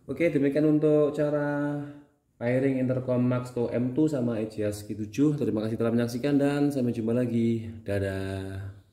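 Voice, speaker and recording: A man's voice: medium pitch, steady tone, normal speed, dark tone. A man with a Javanese Indonesian accent, giving a casual how-to demonstration as a tutorial host. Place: indoors at home, small room.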